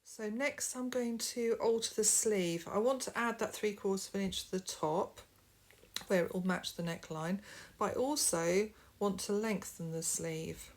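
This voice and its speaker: A woman's voice: mid-range voice, a little slow. Lady doing a sewing tutorial at home, a bit sniffly, rambles on friendly, camera mic. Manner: friendly, rambling, explains step by step. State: sniffly from hay fever. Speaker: sewing vlogger.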